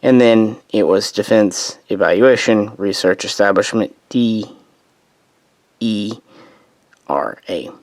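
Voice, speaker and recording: A man's voice: on the low side, slow, long pauses, darkish tone, dry recording. A man losing his voice, casually narrating a history explainer as host, rambling and correcting himself with offhand asides.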